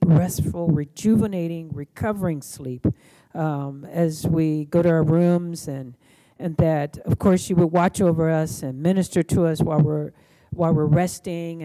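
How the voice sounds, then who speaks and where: A woman hosting a gathering, speaking to the group in a gentle, deliberate, halting way. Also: deep voice, poor audio. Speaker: session host closing the evening with prayer. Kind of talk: live address to a group, announcements and prayer.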